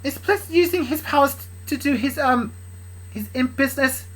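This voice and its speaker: A woman's voice: high-pitched, a bit bright, voice pushed up, rough recording. A woman voicing an urgent young woman who hurries to deliver worrying news. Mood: worried. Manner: hurried, worried.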